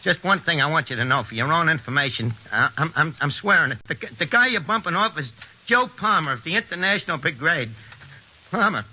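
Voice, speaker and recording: An actor playing a wisecracking American small-time forger in an old radio drama, with slangy, hesitant, streetwise talk. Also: male voice, on the high side, lively intonation, a little fast, pushed and bright, heavily acted. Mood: resigned. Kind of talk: 1940s radio drama acting. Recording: dull, noisy old radio recording.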